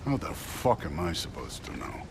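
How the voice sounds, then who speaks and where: Male hulking, contemptuous war god for a game: very low, gravelly, slow and gruff, over noisy ambience. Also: very animated, full character voice. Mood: irritated. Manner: gruff, scornful. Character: huge, surly, contemptuous warrior god. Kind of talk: cinematic video game acting. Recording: loud rumbling ambience over voice.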